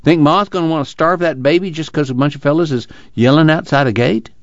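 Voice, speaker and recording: A man's voice: a little high, a little fast, no echo, muffled recording, pitched above the performer's own voice, natural voice. A man voicing a blunt, skeptical young farm laborer in an audiobook. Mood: blunt, resigned. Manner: drawling, ungrammatical rural speech.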